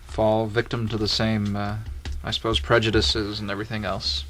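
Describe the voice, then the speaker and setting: A man's voice: fairly low pitch, level tone, moderate pace, fairly bright tone, faint music behind. A man co-hosting a radio programme, talking conversationally in a studio. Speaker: radio announcer and co-host.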